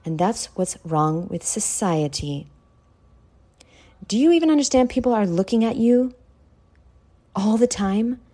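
A woman hosting an informal motivational podcast, brash and sweary, talking straight at the listener with dramatic, drawn-out emphasis. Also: mid-range voice, lively intonation, mellow. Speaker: podcast host. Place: at home in a condo.

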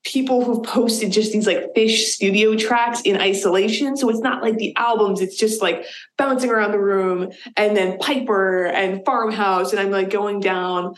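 A woman's voice: mid-range voice, fairly even intonation, moderate pace. A woman from the Boston area, a comedian guest chatting casually in an interview, telling stories with lots of "like"s. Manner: chatty storyteller, many "like"s. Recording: roomy echo.

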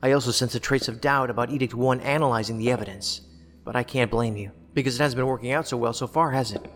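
A man's voice: medium pitch, fast talker, fairly bright tone, close to an everyday voice. A man playing a sardonic, wordy, philosophical federal investigator in a science fiction audio drama. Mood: argumentative, intense. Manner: wry, wordy, lecturing. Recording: long hall reverb, music underneath.

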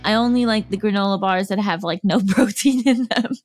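A woman's voice: medium pitch, fairly quick, faint music behind. A woman, co-hosting a podcast with playful chat and frequent nervous laughter. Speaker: podcast co-host. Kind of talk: casual chat.

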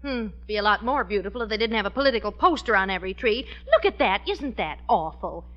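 A woman playing a sensible, exasperated American housewife in an old radio comedy, earnest and gently scolding. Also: mid-range voice, animated, fast talker, close to an everyday voice. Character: sensible, exasperated working-class housewife and wife. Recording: muffled vintage radio recording.

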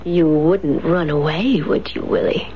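A woman's voice: deep voice, unhurried. An actress playing a cold, commanding, scheming woman in a 1970s radio drama, with a clipped, theatrical delivery. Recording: dull 1970s radio recording, faint noise.

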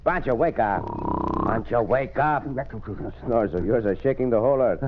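An actor playing a brisk, amiable Old West hero in an old-time radio Western. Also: male voice, medium pitch, animated, lightly acted. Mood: amused impatience. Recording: muffled, faintly noisy vintage radio.

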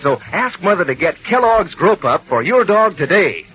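A peppy man announcing ads on an old radio show, his husky voice bouncing up and down. The recording is dull and lo-fi. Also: high-pitched, sing-song, moderate pace. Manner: peppy, salesman-like. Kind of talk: 1940s radio ad reading.

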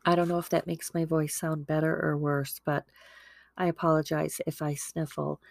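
Low, gravelly, stuffy-nosed woman host. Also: little pitch movement, normal speed, a little mellow, no room sound, studio quality. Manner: chatty, earnest, full of fillers and asides. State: stuffy nose from a starting cold. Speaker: podcast host. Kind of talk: casual devotional talk.

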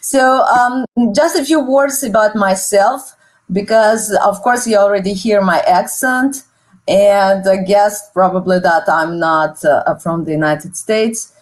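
Middle-aged russian woman prof explaining history in an interview, takes her time, lots of pauses. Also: mid-range voice. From Soviet Union. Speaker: guest, history professor and author.

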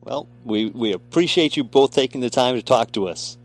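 Male radio host with a mid-range voice, warm with his guests. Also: medium pitch, normal speed, soft background music. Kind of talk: radio interview.

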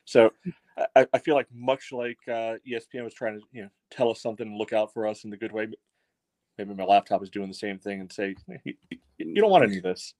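A man's voice: fairly low pitch, fast, darkish tone, dry recording. A man co-hosting a soccer fan podcast, chatting casually in a rambling way with many "you knows". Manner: rambling, peppered with "you know". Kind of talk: casual sports talk.

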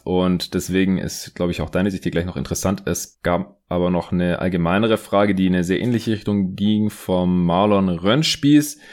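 Low-voiced man hosting a podcast. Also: a little mellow. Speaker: podcast host. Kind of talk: casual sports podcast talk, reading listener questions.

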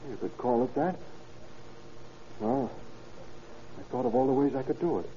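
Actor as self-justifying young killer on tinny old radio. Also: male voice, mid-range voice, moderate pace, warm tone, lightly acted. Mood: matter-of-fact. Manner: matter-of-fact, self-justifying. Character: young male murderer calmly rationalizing his confession to police. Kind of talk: 1930s radio acting. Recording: tinny, noisy 1930s radio recording.

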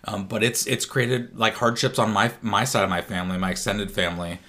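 A man's voice: on the low side, fairly even intonation, brisk, bright, forceful tone. A man co-hosting a podcast, easygoing, restarting phrases as he talks. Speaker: podcast co-host. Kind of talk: casual podcast talk.

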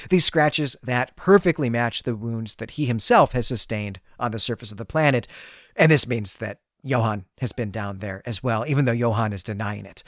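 A man with a medium-pitched voice talks through a piece of fiction as a podcast host. He explains in a conversational way, dropping in asides and check-ins. The sound is dry, with no room around it. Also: no room sound. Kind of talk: conversational literary story recap.

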